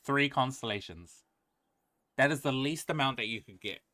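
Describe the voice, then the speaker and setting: A man's voice: medium pitch, lively intonation, moderate pace, sharp tone, no echo, clean studio sound. A man streaming a video game, chatting casually with viewers and commenting as he plays. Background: faint game music.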